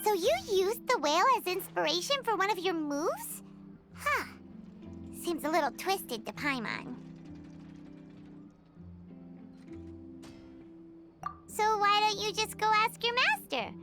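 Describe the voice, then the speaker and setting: Super high squeaky little game sidekick girl, chirpy and nosy, bouncy pitch, loud music behind. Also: very animated, normal speed. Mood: musing. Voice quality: squeaky, childlike. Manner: exclamatory, inquisitive, refers to self in third person. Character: tiny childlike female floating companion, chirpy, nosy, easily startled. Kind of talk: video game cutscene acting.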